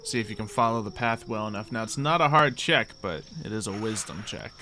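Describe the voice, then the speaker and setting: A man's voice: fairly low pitch, animated, brisk, sharp tone, slight background noise. A man acting as game master, casually narrating and improvising a tabletop roleplay game.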